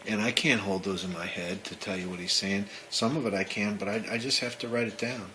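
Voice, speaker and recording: A man giving halting, casual running commentary as an amateur radio operator. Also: on the low side, steady tone. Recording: loud radio noise behind the voice. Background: radio static and Morse tones.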